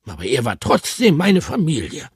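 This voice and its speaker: A man voicing a gentle, wistful male pet hamster who speaks with a lisp, as in a storybook read aloud. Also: fairly high pitch, huge pitch swings, normal speed, slightly rough, dry recording, clean studio sound, voice pushed up, full character voice. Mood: defensive. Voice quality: lisping.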